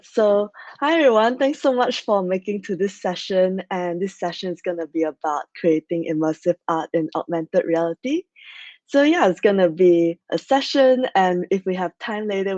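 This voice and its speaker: A woman's voice: mid-range voice, animated, normal speed, a little mellow, dry recording. Chatty young woman on a dull call. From Malaysia. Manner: chatty, many fillers like "like" and "so yeah". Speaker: conference speaker. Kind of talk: casual online presentation. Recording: dry, slightly dull video-call audio.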